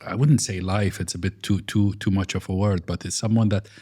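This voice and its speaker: Low-voiced man, hesitant and halting. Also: steady tone, warm tone, dry recording, studio quality. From Iraq. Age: middle-aged. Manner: hesitant, many fillers and restarts. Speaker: architect guest. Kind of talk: conversational interview.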